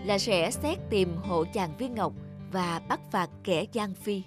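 A woman's voice: mid-range voice, takes it slowly, over music. A woman narrator reading a scripted folk tale in a storytelling style.

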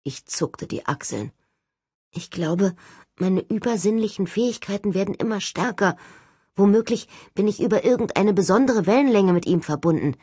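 A woman's voice: medium pitch, animated, moderate pace, dark tone, husky, dry recording. A woman reading scripted first-person audiobook narration as the narrator, recorded close.

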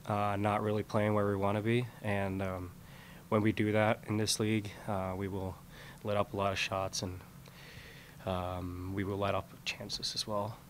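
Young hockey player, measured. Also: male voice, fairly low pitch, level tone, a little hiss or hum. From Sweden. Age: young adult. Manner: plain, measured answers with many "um"s. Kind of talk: post-game press conference. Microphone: podium mic.

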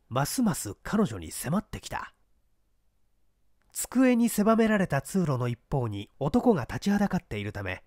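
A man reading mystery fiction as an audiobook narrator, with deliberate, theatrical and suspenseful delivery. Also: medium pitch, a little mellow, no echo, clean studio sound. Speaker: audiobook narrator, stage actor.

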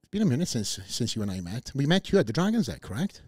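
A man with a Welsh accent hosting a podcast, friendly and laid-back, speaking into a studio boom mic. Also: big pitch swings, fast talker, a little mellow, breathy edge, no room sound. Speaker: podcast host. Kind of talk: casual podcast chat. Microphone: studio boom mic with headphones.

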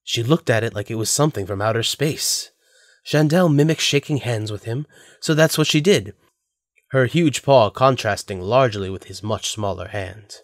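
A man's voice: medium pitch, animated, normal speed, no room sound. Dry-sounding male story narrator. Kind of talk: scripted audio drama narration.

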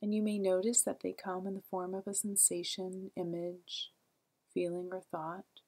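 A woman leading a guided meditation in a calm, gentle, soothing way, with a soft, breathy voice and long pauses. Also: on the low side.

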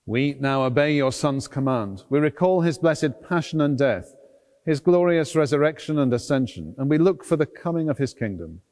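A middle-aged man, a priest, reciting solemn liturgical prayer in measured, deliberate phrases inside a large church. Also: a little high, animated, darkish tone. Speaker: priest, celebrant at a church service. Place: large stone cathedral, at the altar. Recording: long reverb of a large church.

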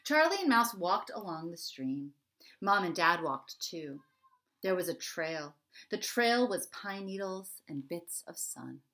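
Animated woman reading to young children. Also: lowish voice, a little slow. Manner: explains simply, addressing children directly. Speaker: children's author reading own book. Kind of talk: expressive read-aloud and chat for young children. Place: small furnished room at home, indoors.

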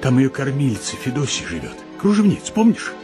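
Radio play: elderly father, plaintive, sighing, spineless, very lively intonation, over music. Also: medium pitch, fairly quick. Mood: apologetic. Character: weak-willed, self-pitying elderly provincial father. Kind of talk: radio drama acting.

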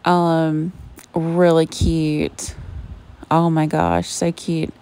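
A woman from Georgia with a Southern accent, chatting warmly to viewers as she browses a store on a handheld phone. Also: low voice, little pitch movement, very slow. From Savannah, Georgia, US. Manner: gushing, chatty, addresses viewers as y'all. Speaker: shopping vlogger. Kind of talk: casual shop-with-me chat. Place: inside a retail store. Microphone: handheld phone camera.

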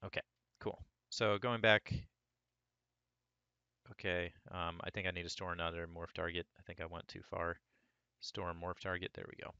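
A man with a hoarse voice from a cold, talking through a sculpting tutorial step by step in a relaxed way. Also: sits low, moderate pace, long pauses, no room sound, highs cut off. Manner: relaxed, talks through each step as he works. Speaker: 3D sculpting instructor. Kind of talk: screen-recorded software tutorial.

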